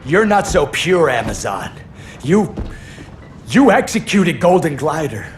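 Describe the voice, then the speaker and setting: A man's voice: a little high, sing-song, unhurried. A man voicing a vengeful male thief who wields ice, sneering and wisecracking. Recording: game audio over loud music.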